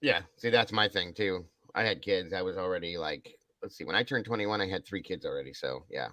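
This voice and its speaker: A man's voice: sits low, a little fast, sharp tone, no room sound. A middle-aged man hosting a live stream in casual, chatty hangout style.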